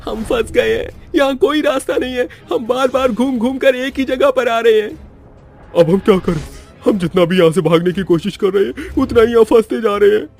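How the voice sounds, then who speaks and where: A frightened schoolboy played by a man speaks in a high, panicky voice, pleading with plenty of melodrama. It is horror cartoon acting, with faint music underneath. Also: normal speed, gravelly, darkish tone, full character voice. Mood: panicked, urgent.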